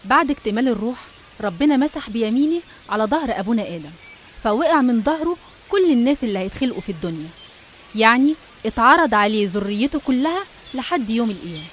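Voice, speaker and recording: Woman, Egyptian accent, rapid delivery, fairly high pitch, conversational religious storytelling. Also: animated, slight background noise. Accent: Egyptian Arabic. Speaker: narrator of religious stories. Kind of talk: conversational scripted narration.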